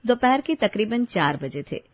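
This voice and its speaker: A woman's voice: on the high side, very animated, normal speed, dull audio. A woman reading a scripted moral story as its narrator.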